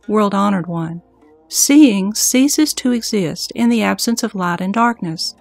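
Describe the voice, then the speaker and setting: A woman playing an earnest, reverent monk disciple in a formal, measured scripture audio drama. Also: medium pitch, creaky, no room sound. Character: earnest, reverent young monk disciple questioning his master.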